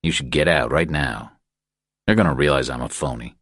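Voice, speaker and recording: A man playing a very deep, laconic drifter hero. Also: normal speed, fairly bright tone, breathy edge, dry recording, clean studio sound, exaggerated voice. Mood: wary. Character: laconic, wary male drifter, hero of a thriller.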